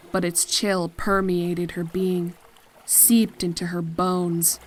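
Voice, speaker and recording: A woman reading a scripted horror story as narrator, in a measured and deliberate dramatic style. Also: fairly low pitch, slight background noise. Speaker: fan fiction narrator.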